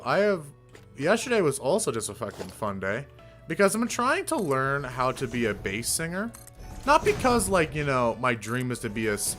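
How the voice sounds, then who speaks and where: A young man streamer chatting casually, rambling with fillers and casual swearing, with a fairly deep voice. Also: fairly bright tone. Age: young adult. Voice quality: somewhat deep voice. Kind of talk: casual live-stream chat.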